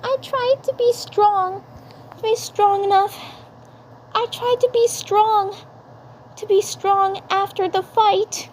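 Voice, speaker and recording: An extremely high, squeaky little cartoon girl, whimpering as she talks. She is sweet and tearful, halting and repeating herself over a noisy home-dub recording. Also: steady tone. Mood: tearful, hurt. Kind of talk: amateur cartoon fandub. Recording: noticeable background noise.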